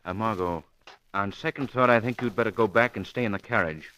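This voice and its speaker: An actor playing an urbane, lightly teasing gentleman detective in an old radio drama. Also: lowish voice, brisk, slight background noise, rough recording. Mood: concerned, decisive. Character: suave, amused gentleman sleuth out with his companion. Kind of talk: 1940s radio drama acting.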